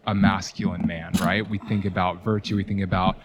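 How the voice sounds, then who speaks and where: A man's voice: low voice, level tone, brisk, highs cut off, very noisy. A man in a debate asking rhetorical questions. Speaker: debate participant. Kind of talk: debate argument.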